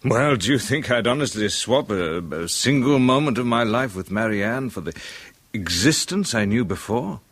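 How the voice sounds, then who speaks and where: An actor playing a tormented man in a 1970s radio drama, talking haltingly with bitter nervous laughs. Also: mid-range voice, lively intonation, a bit bright, slightly rough. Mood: halting, troubled. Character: tormented middle-aged male musician, haunted and bitter.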